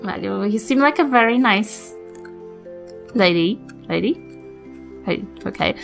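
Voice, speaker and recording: A woman's voice: medium pitch, animated, unhurried, vocal fry, faint music behind. A woman voicing a kindly, doddery old granny adventurer in a tabletop game, polite and coaxing. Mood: politely curious.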